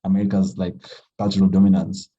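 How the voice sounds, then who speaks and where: A man from Kenya, a writer talking casually as a podcast guest in a halting, thoughtful way full of fillers. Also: low-pitched, little pitch movement, mellow, lo-fi sound. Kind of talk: casual conversation.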